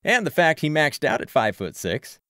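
A man voicing a burly, protective local sports fan for an audiobook, loud, punchy and colloquial. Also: on the high side, sing-song, normal speed, clear, resonant tone, dry recording, studio quality, voice pushed up. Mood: chummy, opinionated. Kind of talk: audiobook character voice.

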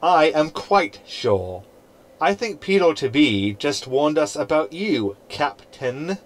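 An actor playing a sardonic, unimpressed holographic crewmate in a science fiction audio sitcom. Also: male voice, on the high side, sing-song, slow. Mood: snide. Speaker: actor in sci-fi comedy audio drama.

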